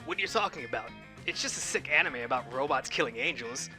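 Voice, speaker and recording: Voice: mid-range voice, expressive, quick pace, music in the background, lightly acted. A performer playing a brash, laid-back teenage demon boy with casual slangy delivery in a game fan dub. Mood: dismissive.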